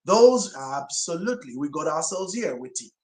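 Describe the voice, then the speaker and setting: Emphatic high-voiced male pastor. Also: very animated, normal speed. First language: Swahili. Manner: emphatic, rhetorical questions. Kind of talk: sermon-style teaching talk. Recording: roomy echo.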